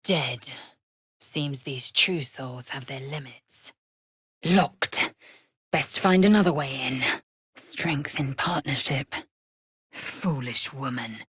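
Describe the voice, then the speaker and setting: A woman voicing a fierce, proud young githyanki warrior in a video game, blunt and disdainful, with clipped, formal, archaic phrasing and a husky, breathy voice. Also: very deep voice, slow, long pauses, a bit bright, no room sound, studio quality, exaggerated voice. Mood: curt, disdainful. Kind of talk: video game character voice lines.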